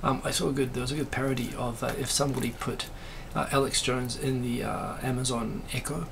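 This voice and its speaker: A man hosting a live stream, chatting casually and rambling with many fillers, with a raspy voice right now. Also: mid-range voice, fairly even intonation, fairly quick, noisy background. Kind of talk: casual live-stream chat reading comments.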